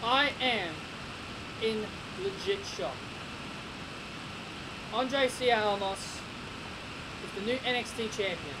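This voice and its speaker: An excitable young man talks straight into a webcam in a small bedroom, his voice pitched high and his delivery slow and drawn out. He keeps repeating himself and stumbling over restarts. A steady hiss of noise sits under the home recording. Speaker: wrestling reviewer. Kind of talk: casual webcam review. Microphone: webcam at desk.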